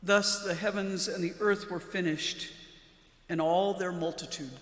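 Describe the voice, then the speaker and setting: A woman, a pastor, reading scripture from a church lectern in a solemn, measured way. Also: fairly low pitch, fairly even intonation. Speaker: Lutheran pastor. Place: large church interior, at the lectern. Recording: echoey church with long reverb.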